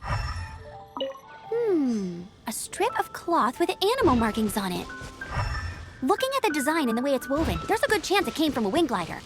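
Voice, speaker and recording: A woman playing an earnest, upbeat young knight scout in a video game, brisk and determined in manner. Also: high-pitched, wildly swooping pitch, music in the background. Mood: focused, explaining.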